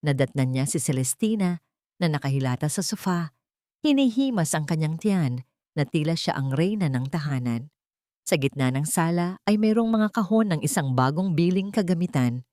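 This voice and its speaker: Female narrator, lower register, dry recording. Measured, sentimental delivery for serialized romantic drama. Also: expressive, mellow, no room sound. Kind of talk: dramatic scripted audio-story narration.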